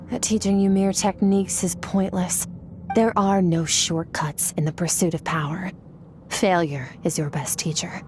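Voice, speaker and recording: A cool, lower-voiced stern sword master. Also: female voice, a little hiss or hum. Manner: measured, instructive, severe. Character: stern, aloof female sword master mentor. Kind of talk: video game cutscene dialogue. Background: soft game music.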